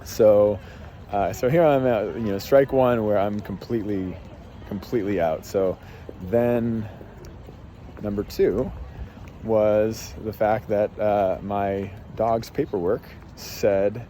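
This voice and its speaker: A man's voice: fairly low pitch, steady tone, slow, mellow, a little hiss or hum. A man hosting a podcast, telling a long personal story in a rambling, casual way with many fillers and restarts. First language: English. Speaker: podcast host and life coach.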